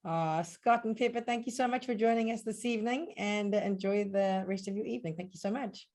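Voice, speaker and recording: A woman's voice: mid-range voice, brisk, darkish tone. A woman hosting an online open day, thanking speakers and introducing the next one in a friendly way. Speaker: event host.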